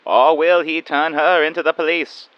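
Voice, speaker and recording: A man playing a melodramatic, excitable old-time radio serial announcer in a dry, band-limited recording. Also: a little high, normal speed, smooth, no room sound, dull audio, voice pushed up, lightly acted. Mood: suspenseful, melodramatic.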